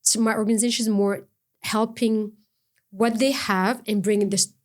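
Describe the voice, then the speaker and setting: Woman, middle-aged, earnest, halting, frequent restarts, mid pitch. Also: fairly even intonation. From Ontario, Canada. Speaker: guest, non-profit founder. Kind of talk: conversational interview.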